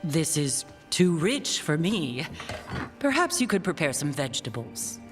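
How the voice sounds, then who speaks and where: An actress voicing a stern, proud middle-aged scholar mother in a video game, speaking formally and with measured restraint. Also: sits low, sing-song, music in the background. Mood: cool, stern. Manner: formal, measured, clipped diction without warmth. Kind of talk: video game dialogue acting.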